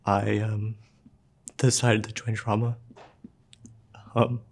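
A young man telling his own story in a short film, soft-spoken and halting, with pauses and fillers. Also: lowish voice, flat delivery, vocal fry. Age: young adult. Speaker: student filmmaker narrating his own story. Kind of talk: personal narration for a short film.

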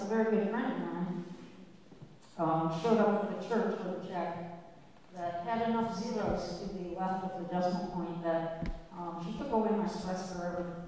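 Older female organist addressing a congregation: dry humor, halting ums, booming church echo. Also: a little mellow, slight background noise. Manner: chatty, wry, unhurried with many ums. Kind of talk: speech to a church audience. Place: large church sanctuary with balcony. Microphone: handheld mic. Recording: echoey church hall, distant camera.

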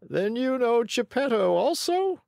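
A narrator voicing a big, helpful talking pigeon in a storybook, with a gentle, matter-of-fact manner. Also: mid-range voice, big pitch swings, unhurried, creaky, no room sound, clean studio sound, pitched above the performer's own voice. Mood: inquiring.

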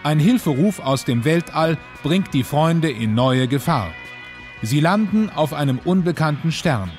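A man's voice: fairly high pitch, lively intonation, unhurried, darkish tone. A man delivering scripted dramatic narration for an audio drama. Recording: long reverb, music under voice.

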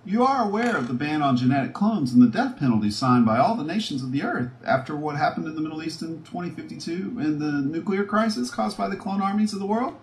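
Guy doing a sweary paranoid mad scientist for a sci-fi audio play, theatrical, highish pitch, echoey room. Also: expressive, fast, hardly any pauses. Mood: urgent, scheming. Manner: muttering to himself, theatrical, swinging between glee and panic. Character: scheming, foul-mouthed, paranoid male mad scientist, gloating. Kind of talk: audio drama acting, sci-fi monologue. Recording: roomy echo with background noise.

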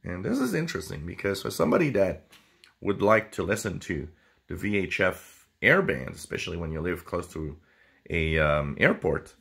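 A man presenting radio gear in a casual, unscripted way, with halting, hesitant phrasing. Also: sits low, creaky, a bit bright, husky. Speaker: amateur radio video presenter. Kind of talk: casual product talk, unscripted.

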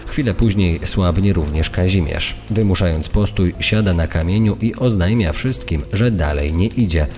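A man's voice: low-pitched, moderate pace, hardly any pauses, a little mellow. A man giving explanatory, storytelling commentary in a reverberant recording. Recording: long reverberant hall sound. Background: faint music.

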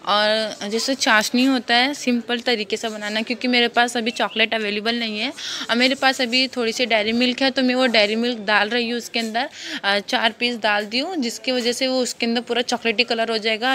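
A woman's voice: on the high side, pushed and bright. A young woman explaining a home recipe step by step in a casual, chatty way with many fillers, filmed on a phone in her kitchen. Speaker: cooking vlogger. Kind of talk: casual step-by-step cooking demo. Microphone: phone camera filming hands from above.

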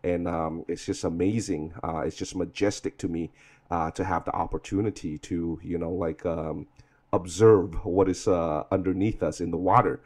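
A man narrating a vlog off the cuff, rambling and reflective, with halting delivery. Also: sits low, fairly even intonation, a little mellow. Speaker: vlog host. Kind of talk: unscripted voice-over narration.

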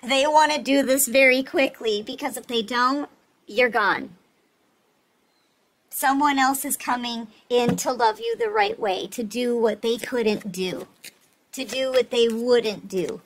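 Higher-pitched woman giving a tarot reading. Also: lively intonation, a little slow, slight background noise, poor audio. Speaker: tarot reader, host. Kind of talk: casual spoken tarot reading to listeners.

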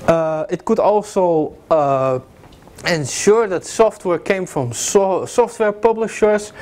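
Dutch guest lecturer, 30s, fairly high voice, loose and joking, filler-heavy; distant camera in a classroom. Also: male voice, darkish tone. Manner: loose, joking, many fillers, walks and points at slides. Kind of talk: informal technical lecture. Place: classroom with projector. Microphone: far camera, no visible mic.

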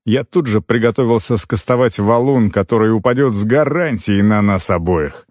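A man reading a fantasy novel in the first person as an audiobook narrator, with an expressive, dramatic storytelling delivery. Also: fairly low pitch, normal speed, no room sound, studio quality.

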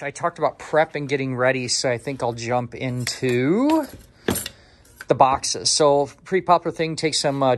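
An American man with a mid-pitched voice hosts a casual workshop video as a mechanic. He talks to the camera in an informal, chatty style, rambling from point to point as he goes through his gear. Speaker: motorcycle mechanic and channel host. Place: motorcycle repair garage workshop, indoors.

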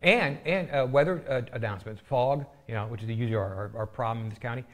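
A middle-aged American man appearing as a guest on a local TV show, explaining in an unhurried, halting way with many pauses. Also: mid-range voice. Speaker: school system guest. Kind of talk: casual studio chat.

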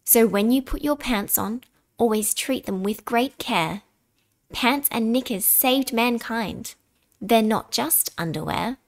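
A woman reading a children's picture book aloud in an expressive, deliberate way, dramatic on key words. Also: on the high side. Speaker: storybook reader.

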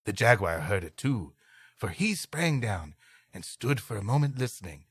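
Bright-voiced, animated man reading aloud. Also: on the low side, moderate pace, slightly rough, dry recording, clean studio sound. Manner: jokey, playful, breaks off from the text to riff. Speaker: podcast co-host reading a story aloud. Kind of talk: story reading broken up by comic banter.

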